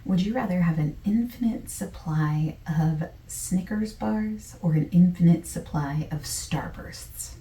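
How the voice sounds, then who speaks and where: A woman playing a friendly, easygoing survey taker in a softspoken, partly whispered ASMR roleplay, with gentle, chatty asides. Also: fairly low pitch, a little slow, few pauses, reverberant, distant mic, slight background noise, natural voice, rough recording. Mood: gentle, casual. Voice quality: soft, breathy, partly whispered.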